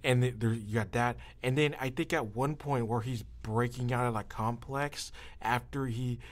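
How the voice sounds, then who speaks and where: A man hosting a podcast in casual chat, with a halting, meandering way of talking. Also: medium pitch, steady tone.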